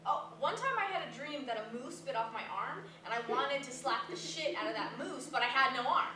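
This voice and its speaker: An actress plays a self-doubting, inquisitive young woman with a high, very lively voice. She speaks naturally and bluntly, as in a play. The live recording is roomy and noisy. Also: a little fast, recorded from a distance. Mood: puzzled, animated. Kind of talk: staged play reading, naturalistic dialogue.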